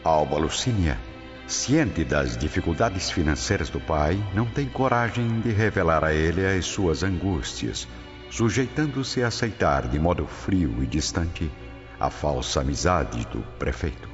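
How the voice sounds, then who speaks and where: A man's voice: low-pitched, big pitch swings, measured pace. A man with a Brazilian accent narrating a scripted radio drama in a dramatic style. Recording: muffled, long reverb, music behind.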